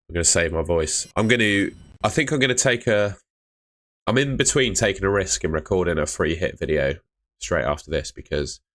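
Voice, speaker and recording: A young man chatting live with viewers on a stream, laid-back and chatty, his voice fading and hoarse. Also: low-pitched, a bit bright. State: losing his voice.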